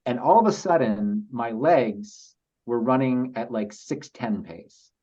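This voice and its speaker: A man speaking as a podcast guest, telling personal stories in a halting, reflective and earnest way. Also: mid-range voice, lively intonation, mellow, husky. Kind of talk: casual interview storytelling. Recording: slightly dull lo-fi audio.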